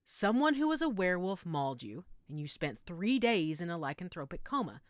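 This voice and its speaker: A woman's voice: on the low side, sing-song, brisk, dry recording, clean studio sound. A woman playing a frank, caring female werewolf in an audio roleplay, matter-of-fact and reassuring with a wry edge. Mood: insistent, matter-of-fact. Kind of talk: audio roleplay drama, one-sided conversation.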